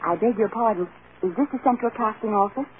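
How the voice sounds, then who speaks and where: A woman's voice: mid-range voice, quick pace, close to an everyday voice. An actress playing an earnest, starry-eyed young farm girl in a vintage radio drama. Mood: hopeful. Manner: earnest, polite, eager. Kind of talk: 1930s-40s American radio acting. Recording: muffled, noisy old radio broadcast.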